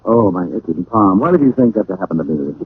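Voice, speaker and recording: An actor playing a wry, deadpan hard-boiled private detective in a 1940s-style radio drama. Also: male voice, on the low side, fast talker, slightly rough. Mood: tough, sardonic. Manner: deadpan, wisecracking. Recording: noisy, tinny old radio recording.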